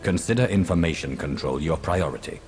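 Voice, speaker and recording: A man's voice: low-pitched, lively intonation, a little fast. A man playing a resolute, commanding lord in a video game, speaking formally and with authority. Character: commanding, resolute lord giving urgent orders. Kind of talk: video game cutscene acting. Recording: clear music underneath.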